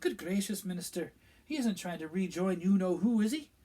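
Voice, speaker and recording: A man's voice: sits high, lively intonation, normal speed, dark tone, pitched above the performer's own voice. A man voicing a gossipy woman innkeeper. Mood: curious, shocked. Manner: eager, gossipy questioning.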